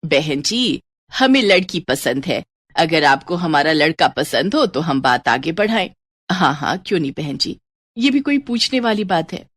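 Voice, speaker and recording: Woman playing a low-pitched, kindly mother. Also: sing-song, a little slow, no room sound, clean studio sound, full character voice. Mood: agreeable. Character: kindly middle-aged Indian mother and mother-in-law. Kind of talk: Hindi family-story dramatization.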